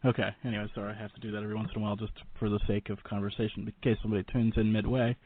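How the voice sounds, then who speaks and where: A man's voice: on the low side, little pitch movement, rapid-fire, narrow, tinny audio. A man hosting a radio talk show, interviewing in an informal, rambling, joking way. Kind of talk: casual chatty interviewing.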